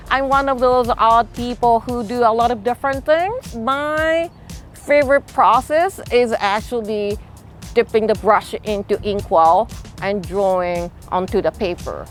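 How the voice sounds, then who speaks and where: A middle-aged woman with a Japanese accent, a freelance illustrator speaking thoughtfully in an interview, often saying "you know" and "like". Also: on the high side. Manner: relaxed, thoughtful, frequent fillers like "you know" and "like". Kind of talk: interview-style talk to camera. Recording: soft music behind.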